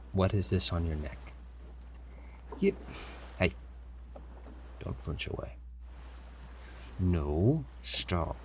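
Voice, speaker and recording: A man's voice: deep voice, creaky, heavily acted. A man playing a stern, menacing pirate captain who grudgingly cares, in a commanding audio roleplay with noisy ambience behind him. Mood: suspicious, concerned. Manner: slow, commanding, with sighs and feigned indifference. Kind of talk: audio roleplay acting, addressing a captive listener.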